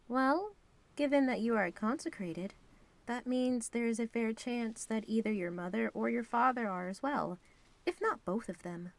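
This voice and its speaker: A woman playing a composed, knowing divine being in an audio drama, with frank, measured and formal phrasing. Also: on the high side, creaky. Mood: explaining.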